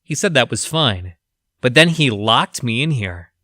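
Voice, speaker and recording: A man playing a weary, troubled lab researcher gravely and articulately reading his journal in an audio drama. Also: mid-range voice, lively intonation, a little slow, sharp tone, no room sound, clean studio sound. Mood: weary, defensive.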